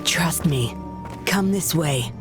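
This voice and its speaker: Casting: cold, sly undercover henchwoman for a game, low register, controlled authority, over music. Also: expressive, slow pace. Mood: cool, taunting. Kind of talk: video game voice acting.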